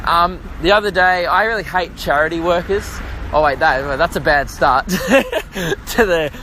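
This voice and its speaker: A man's voice: fairly high pitch, animated, a little slow, pushed and bright, a little hiss or hum, poor audio. Breathless Australian man rambling on a walk. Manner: rambling, jokey, self-mocking. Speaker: podcast host. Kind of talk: casual walking vlog chat. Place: outdoors, walking along suburban roads near traffic.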